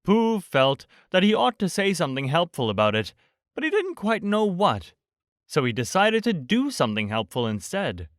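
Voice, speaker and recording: A man narrating a bedtime storybook as an audiobook reader, in a measured, expressive storytelling manner. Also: sits high, dry recording, studio quality.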